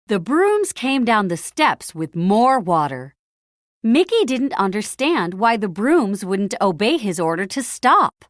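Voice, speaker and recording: A woman narrating a children's storybook in an expressive read-aloud style, with deliberate, dramatic and emphatic phrasing. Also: mid-range voice, no room sound, studio quality.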